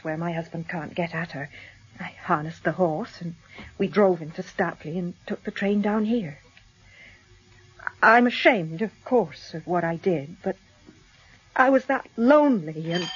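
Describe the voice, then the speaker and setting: A brave but desperate farmer's wife speaks earnestly and haltingly in a husky, medium-pitched voice. The radio play recording is a little dull. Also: female voice. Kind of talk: BBC radio drama acting.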